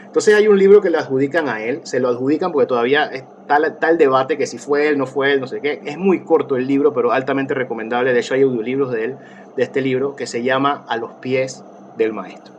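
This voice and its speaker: A man's voice: medium pitch, a little fast, lo-fi sound, slight background noise. A man, a therapist talking as an interview guest, reflective and rambling with many restarts and fillers. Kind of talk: casual conversational interview.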